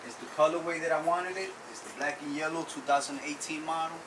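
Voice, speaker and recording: A man from Ecuador casually describing his motorbike in an interview, relaxed and saying "bro" often. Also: on the high side, fast talker. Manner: relaxed, says "bro" a lot, frequent restarts. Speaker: interviewee, vehicle owner. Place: outdoors by vehicles. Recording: distant from mic, loud background noise.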